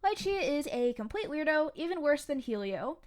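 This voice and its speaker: A woman's voice: high-pitched, big pitch swings, moderate pace, a little mellow. A young woman playing a sarcastic, grumbling eighteen-year-old waitress in a fiction podcast, snippy and sardonic. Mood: sardonic recounting. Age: young adult. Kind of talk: audio fiction podcast acting, conversational.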